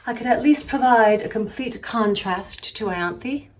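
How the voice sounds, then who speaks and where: A woman's voice: on the high side, a little slow, lightly acted. A woman playing a wry, envious single dinner guest, dry and arch, in a dramatized novel reading. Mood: envious musing. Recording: distant mic with clear background noise.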